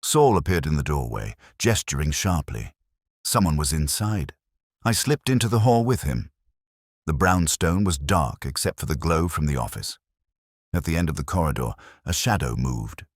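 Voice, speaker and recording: A man's voice: rumbling low, sing-song, moderate pace, dry recording, studio quality. A man reading a scripted first-person detective story as an audiobook narrator.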